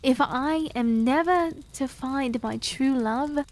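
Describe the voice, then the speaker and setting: A woman's voice: high-pitched, darkish tone. A woman playing a weary cursed queen. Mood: solemn. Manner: slow, formal, solemn delivery. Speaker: voice actor in audio drama. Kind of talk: fantasy audio drama acting.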